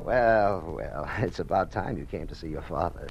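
Deep, gravelly, bookish old father. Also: expressive, moderate pace, soft background music, heavily acted. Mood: playful, affectionate. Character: aging, bookish father, proud and regretful. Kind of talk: old-time radio drama acting. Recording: muffled old radio recording.

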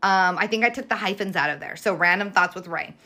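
A raspy-voiced young woman co-hosting a podcast, telling stories in animated casual chat and swearing freely. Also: fairly low pitch, fast. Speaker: podcast co-host.

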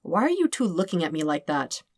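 A woman's voice: on the low side, very animated, fairly quick, a little mellow, no room sound, studio quality. A woman voicing a casual, blunt, long-suffering man with a wry, offhand manner in an audiobook story. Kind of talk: acted dialogue in fan fiction audiobook.

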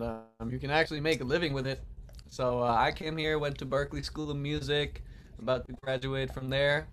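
A man's voice: medium pitch, fairly bright tone, a little hiss or hum. A young man from Vienna, Austria, chatting casually as a guest musician and producer. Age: young adult. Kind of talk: casual podcast chat.

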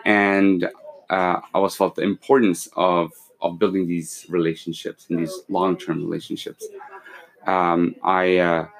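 CEO guest, forties, very low voice, steady and measured, filler-heavy. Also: male voice, poor audio. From born in Pakistan, raised in London and Kuwait. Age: 40s. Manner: measured, with many fillers and restarts. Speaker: company CEO, podcast guest. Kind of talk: casual interview, explaining business.

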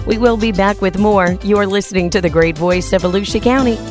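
A woman hosting a local radio talk show, briskly wrapping up a segment before the break. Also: mid-range voice, music in the background. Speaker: radio host.

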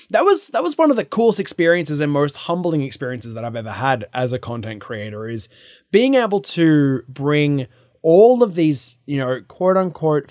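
A young man co-hosting a card game podcast, chatty with quick asides and frequent laughter. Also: fairly high pitch, dry recording, clean studio sound. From Australia. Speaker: podcast co-host. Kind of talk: casual chat.

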